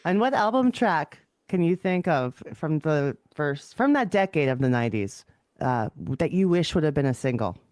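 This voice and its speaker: A woman podcast host with a clear, smooth voice interviews casually. The sound is dry, with no room around her. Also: deep voice, lively intonation, moderate pace, darkish tone, no echo.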